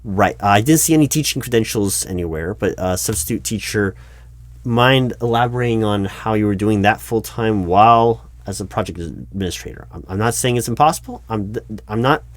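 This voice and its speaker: A man with a fairly low voice plays an awkward recruiting manager in an ASMR-style interview roleplay. He talks at full voice rather than whispering. His delivery is hesitant and stammering, politely skeptical as he questions a candidate. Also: animated, a little fast, no echo. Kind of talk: one-sided interview roleplay, ASMR spoken out loud. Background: computer keyboard typing.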